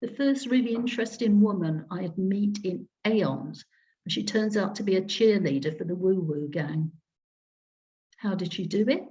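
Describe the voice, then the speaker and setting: A woman reading a story aloud in the first person with a wry, sardonic, expressive delivery over a video call. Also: medium pitch, normal speed. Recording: dull lo-fi video call audio.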